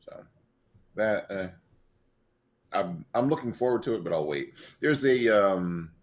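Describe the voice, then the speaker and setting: Very deep-voiced man in relaxed chat. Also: unhurried. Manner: relaxed, laughs easily, filler-heavy. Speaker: podcast co-host. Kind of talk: casual chat.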